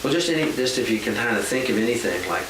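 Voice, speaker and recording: A man gently interviewing a child at home, coaxing answers and laughing often. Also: medium pitch, lively intonation, quick pace. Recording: echoey room, far from mic, noisy.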